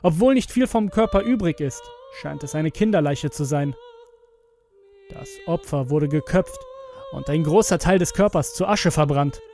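A man's voice: a little high, very animated, normal speed, dark tone, faint music behind. A man voicing a solemn, shocked male television news anchor in a horror audio story, speaking formally. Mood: grave, shocked. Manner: formal, grave.

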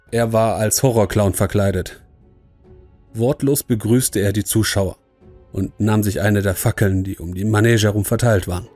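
Male narrator for creepy audio story: deep-leaning, soft dark tone, scripted first-person telling over quiet music.

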